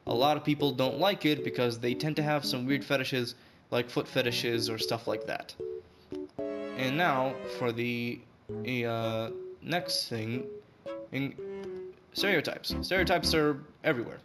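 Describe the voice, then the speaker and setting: A man with an English accent talks at a middle pitch in a loose, rambling voice-over. He hesitates and restarts often, filling gaps with "uh." Plucked guitar music plays clearly behind him. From Saudi Arabia. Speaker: gaming video creator. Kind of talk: unscripted voice-over commentary. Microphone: voice-over over gameplay footage.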